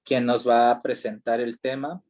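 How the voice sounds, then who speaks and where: A man's voice: mid-range voice, level tone, normal speed, darkish tone. A man moderating an academic panel, making formal introductions and carefully reading a speaker's biography aloud over a video call.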